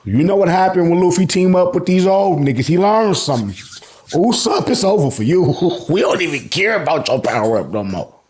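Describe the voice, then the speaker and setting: An American man giving an excited, slangy fan recap, emphatic and repeating phrases for effect, with casual swearing. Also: high-pitched, fairly quick. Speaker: host of an anime and manga review channel.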